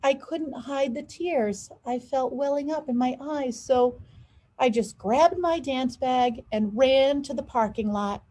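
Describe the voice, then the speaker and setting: A woman reading a children's storybook aloud in an expressive, dramatized storytelling manner. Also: high voice, slow. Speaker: school leader reading aloud.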